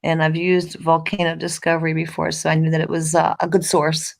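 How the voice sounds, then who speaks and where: A woman hosting a casual livestream chat, hoarse and losing her voice, clearing her throat as she talks. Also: low voice, monotone, brisk. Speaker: livestream host.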